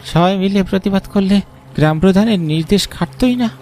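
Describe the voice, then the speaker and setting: A man's voice: sits high, fairly quick, darkish tone, a little hiss or hum, close to an everyday voice. A man playing a mysterious, knowing village boatman in a cartoon horror story, speaking with solemn urgency. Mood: solemn recounting.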